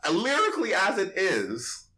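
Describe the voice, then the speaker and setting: A man's voice: sits high, huge pitch swings, normal speed, pushed and bright. A man hosting a podcast in casual, opinionated chat, sassy and slangy with emphatic delivery. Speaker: podcast host.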